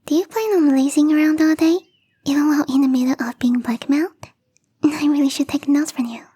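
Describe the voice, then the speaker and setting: A woman voicing a young catgirl gardener in an ASMR roleplay, teasing, coy and playfully smug, with a breathy, whispery voice. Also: high voice, steady tone, fairly quick, dark tone, no room sound, lightly acted. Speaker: voice actress.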